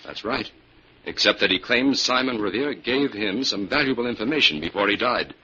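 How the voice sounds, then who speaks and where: An actor playing an authoritative city detective in old-time radio style, firm, measured and persuasive. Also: male voice, on the low side, animated, pushed and bright. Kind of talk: 1940s radio acting. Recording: dull old radio recording, faint noise.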